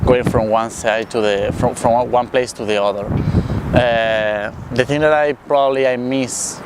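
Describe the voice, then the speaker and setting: A man's voice: medium pitch, steady tone, measured pace, heavy background noise. A man from Spain, a student answering interview questions hesitantly with many fillers.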